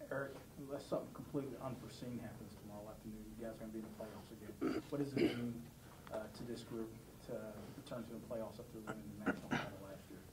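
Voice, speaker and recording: A man's voice: lowish voice, steady tone, fast, echoey room, far from the mic. A man working as a sports reporter, asking post-game questions in a noisy room, away from the microphone. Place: indoor press room. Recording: off-mic, clearly noisy room.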